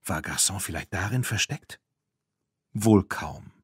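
Low-voiced man narrating a children's audiobook. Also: expressive, measured pace, husky, no room sound, studio quality.

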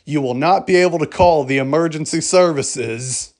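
A man reading a scripted emergency alert broadcast in a formal, measured manner. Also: fairly high pitch, a little mellow.

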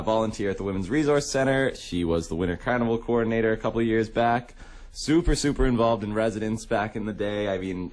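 A young man hosts a campus-style radio interview in a lowish voice. His talk is casual, informal and slangy. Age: 20s. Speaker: radio show host.

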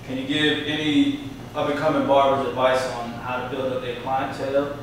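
Flat-voiced interviewer in an echoey shop. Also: male voice, medium pitch, normal speed, slight background noise. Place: barbershop, indoors. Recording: echoey room, mic far off.

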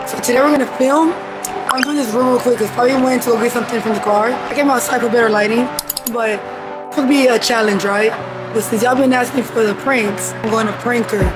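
Young woman with a hoarse, recovering voice. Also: a little high, fairly even intonation, a little fast, loud music behind the voice, low-quality recording. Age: young adult. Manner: slangy, playful. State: voice still recovering, rough. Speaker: vlogger. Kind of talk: casual chat. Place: inside a car.

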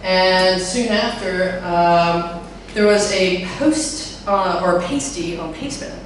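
A middle-aged woman with a deep voice gives a chatty talk on a handheld mic, filling her speech with fillers and putting questions to the audience. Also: slow, a little hiss or hum. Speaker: conference speaker. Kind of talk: live tech talk to an audience. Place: large hall with a projector screen. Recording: echoey hall from far off.

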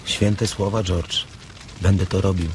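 An actor in a radio drama playing a simple-minded, eager and devoted ranch hand with a childlike, pleading manner. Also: male voice, low voice, little pitch movement, unhurried, darkish tone. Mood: childlike delight. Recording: noisy ambience behind the voice.

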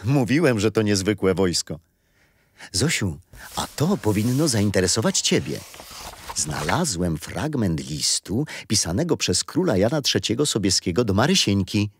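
Grandfather, patient and kindly storyteller for a children's history play, animated intonation. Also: lowish voice, unhurried, slight background noise. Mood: kindly, explaining. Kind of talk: children's radio play acting.

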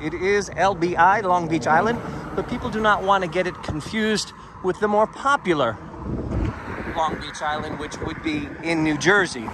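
Chatty New man walking outdoors. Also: high voice. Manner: chatty, digressive, tour-guide explaining. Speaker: walking tour vlogger. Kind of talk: casual walking narration. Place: outdoors on a bridge footpath by the sea. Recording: outdoor street noise. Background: traffic and wind noise.

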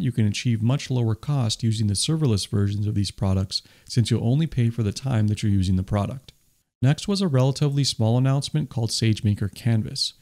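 Male host, scripted recap narration, eager and explanatory, average pitch. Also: a little mellow. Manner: enthusiastic, explanatory. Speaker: tech video host. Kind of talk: scripted voice-over summary. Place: voice-over, not recorded on the stage pictured.

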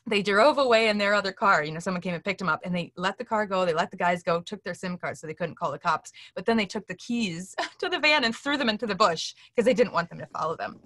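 A woman's voice: medium pitch, expressive, fast talker, hardly any pauses, a bit bright, dry recording. A middle-aged woman, an interview guest, telling stories earnestly and acting out what people said. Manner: earnest, acts out quoted speech while telling stories. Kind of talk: animated storytelling in a casual interview. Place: indoor room, seated on a couch on a video call.

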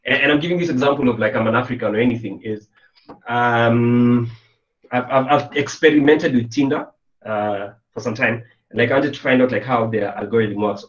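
A young man from Kenya giving a casual online programming lesson, chatty and loose, full of fillers and restarts. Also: medium pitch. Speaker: programming instructor. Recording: roomy, distant, dull webcam sound.

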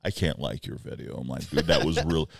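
A man in his forties, co-hosting a podcast in casual chat, rambling and animated as he tells stories with quoted asides. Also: very deep voice, brisk, gravelly, dry recording, studio quality. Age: 40s. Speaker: podcast co-host. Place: small wood-paneled studio room. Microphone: headset and boom mic.

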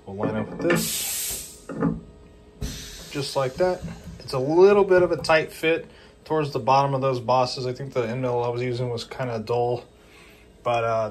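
Shop owner, male, mid pitch, easygoing walkthrough voice, phone audio with clear workshop noise behind. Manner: relaxed, explains step by step with fillers. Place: machine shop beside a CNC mill.

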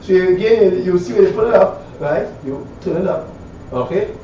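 Echoey, distant Caribbean man, higher-pitched voice. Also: a little fast, mellow. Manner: rambling, repeats phrases, frequent 'right?' tags. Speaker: woodworker demonstrating hand tools. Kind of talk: casual hands-on demonstration. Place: small cluttered indoor woodwork shop. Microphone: camera a few metres away. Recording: echoey room, distant mic, dull, faint noise.

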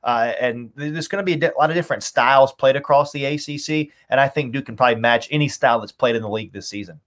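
A man's voice: mid-range voice, fast, fairly bright tone. A man in his thirties talking through team analysis conversationally as a sports announcer on a video call, stressing points by repeating words. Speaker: radio play-by-play announcer, podcast guest. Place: small home room, indoors. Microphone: video call, webcam framing.

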